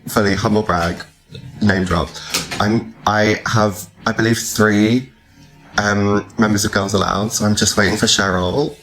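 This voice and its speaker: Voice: low voice, fairly even intonation, unhurried, low-quality recording. A podcast host chatting casually and informally with guests, often dropping in "like". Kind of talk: casual chat interview.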